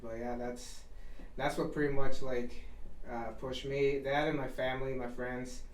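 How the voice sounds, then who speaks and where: A young man from Guatemala talks loosely about himself, full of fillers and restarts. He sits back from the mic in a roomy space, and his delivery stays fairly flat. Faint ambience hums underneath. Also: medium pitch, a little slow, recorded from a distance, slight background noise. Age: 20s. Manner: relaxed, rambling, many fillers and restarts. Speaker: chef, podcast guest. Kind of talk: casual interview talk.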